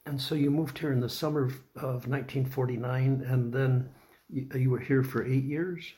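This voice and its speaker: A man conducting an oral history interview, asking measured, polite questions with some hesitation. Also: medium pitch, fairly even intonation, warm tone. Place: old building, a former dormitory.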